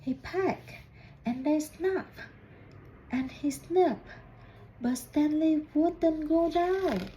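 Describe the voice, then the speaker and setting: A woman reading a children's storybook aloud in deliberate, halting phrases, putting questions to young listeners. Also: high voice, dark tone, a little hiss or hum, low-quality recording. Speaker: student reading a picture book aloud.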